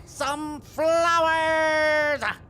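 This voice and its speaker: An actor playing a grumpy, boastful stage magician in an audio drama, sarcastic and theatrical in manner. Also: male voice, fairly even intonation, very slow, raised voice, heavily acted. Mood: showy, grandiose. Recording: faint noise with low rumble.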